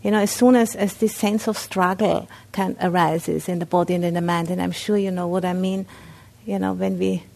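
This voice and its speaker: Low, gravelly Austrian-accented woman. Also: steady tone, darkish tone. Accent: Austrian German. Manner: halting, with frequent fillers and restarts. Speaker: Buddhist nun teacher. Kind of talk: dharma talk. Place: meditation center.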